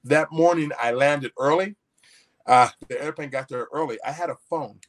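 A man's voice: fairly high pitch, moderate pace. Folksy male guest telling a story. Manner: repetitive restarts, anecdotal, folksy. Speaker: author guest. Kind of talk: storytelling in an interview.

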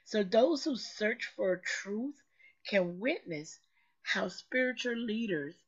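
Hoarse woman preaching slowly. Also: mid-range voice, expressive, lo-fi sound. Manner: preachy, emphatic, repeats phrases for effect. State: hoarse, recovering lost voice. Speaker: motivational show host. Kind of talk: slow motivational talk.

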